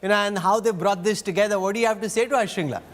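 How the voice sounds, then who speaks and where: A man's voice: high-pitched, racing. A man anchoring a live news interview, asking teasing, wry questions. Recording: long reverb on the voice.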